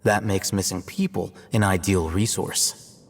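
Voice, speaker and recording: A detached young-sounding scholar from a video game in a low register. He reasons formally and deliberately, weighing each phrase. His voice carries a long reverb, with music underneath. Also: fairly even intonation, gravelly. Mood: detached, grave. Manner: measured, formal, deliberate delivery. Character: cool, analytical, detached male scholar and scribe. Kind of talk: scripted dramatic cutscene dialogue.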